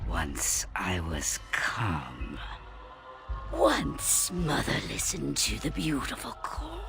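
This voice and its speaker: A woman's voice: very deep voice, huge pitch swings, extremely slow, gravelly, music in the background, exaggerated voice. An actress playing a deranged monstrous mother creature in a fantasy game, grieving and menacing, repeating words and veering between whispers and shrieks. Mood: brooding, grieving. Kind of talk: theatrical fantasy game acting.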